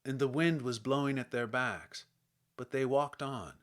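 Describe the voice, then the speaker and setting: Slow, measured male audiobook narrator. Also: mid-range voice. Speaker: audiobook reader. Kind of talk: literary scripted narration.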